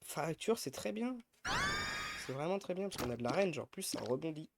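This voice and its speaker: A man's voice: fairly high pitch, animated, moderate pace. Man with a cold, thinking aloud. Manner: thinks aloud, counting and weighing choices. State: has a head cold. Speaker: streamer. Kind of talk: casual gameplay commentary. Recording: loud background noise.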